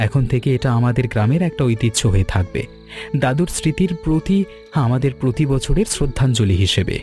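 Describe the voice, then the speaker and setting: Music plays softly as a man voices a shopkeeper's grown son in a cartoon. He is devoted and enthusiastic, with an earnest, heartfelt delivery. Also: mid-range voice, animated, brisk, close to an everyday voice. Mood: happy, proud. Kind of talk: dramatized cartoon dialogue. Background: background music.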